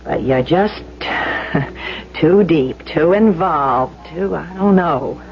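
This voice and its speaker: A woman's voice: low voice, very slow, soft background music, exaggerated voice. An actress playing a skeptical, teasing young woman in a seventies radio drama, self-possessed and playful. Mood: gently firm. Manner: wry, playful, expressive. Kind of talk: 1970s radio drama acting. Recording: dull lo-fi old radio broadcast.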